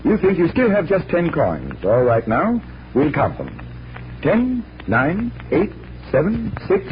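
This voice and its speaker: A man's voice: a little high, huge pitch swings, slow pace, full character voice. An actor playing a confident, genial magician detective in 1940s radio style, instructing like a theatrical showman. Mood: playful showmanship. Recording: dull, noisy vintage radio recording.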